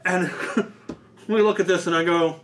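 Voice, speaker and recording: A man telling a casual, animated story about a tabletop game, as a host who acts out quoted lines. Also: high voice, measured pace, noisy background.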